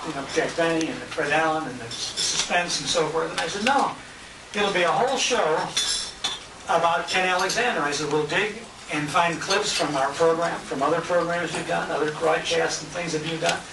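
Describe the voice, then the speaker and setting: A man's voice: on the high side, moderate pace, distant mic. An American man speaking informally as emcee of a tribute dinner, telling anecdotes with quoted conversations. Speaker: emcee and radio show host. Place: restaurant banquet room. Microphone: handheld compact camera at a distance. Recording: echoey, noisy room recorded on handheld camera.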